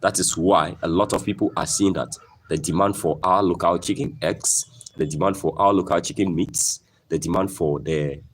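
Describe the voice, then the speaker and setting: Deep-voiced Ghanaian man urging farmers. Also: steady tone, normal speed, a little hiss or hum. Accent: Ghanaian English. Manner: emphatic, repeats phrases and keeps asking "okay?". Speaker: poultry farmer. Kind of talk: motivational farming talk.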